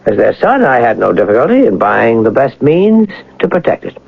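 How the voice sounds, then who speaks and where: Young gentleman thief: courteous, articulate, lively inflection with some creak, noisy old radio sound. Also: medium pitch, moderate pace, phone-quality sound, soft background music, exaggerated voice. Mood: suave, assured. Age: young adult. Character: polished, well-educated young gentleman bank robber, smooth and courteous. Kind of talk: 1980 radio drama acting.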